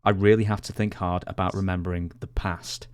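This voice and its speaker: A man co-hosting a podcast in a conversational, measured way, with some hesitant asides. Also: low-pitched, level tone, clear, resonant tone, dry recording, clean studio sound. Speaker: podcast co-host.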